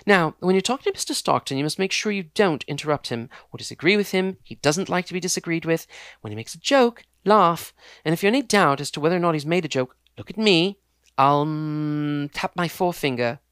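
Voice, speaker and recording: A sharp, bossy young woman from a storybook, pitched high and snapping out orders, as a male narrator puts her on. Her voice has a gravelly edge and sounds dry and close. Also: animated, a little fast, no echo. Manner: bossy and scolding.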